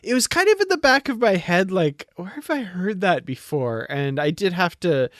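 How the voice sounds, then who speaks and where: A man's voice: sits high, big pitch swings, normal speed, a bit bright, no echo, studio quality. A man co-hosting a podcast, casually talking through a TV episode and chuckling as he goes. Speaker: podcast co-host. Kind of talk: casual TV episode review chat.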